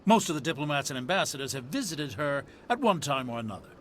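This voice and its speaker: Lively, higher-pitched helpful attendant. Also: male voice, fast, slight background noise. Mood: chatty, helpful. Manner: obliging, gossipy. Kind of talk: video game dialogue.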